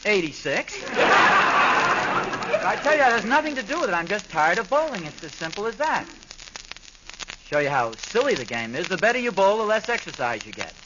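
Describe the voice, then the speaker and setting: A man's voice: sits high, big pitch swings, moderate pace, long pauses. A man acting a defensive, stubborn middle-aged husband and father in an old-time radio situation comedy. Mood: defensive, huffy. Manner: grumbling, protesting, self-justifying. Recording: muffled, crackly old radio recording.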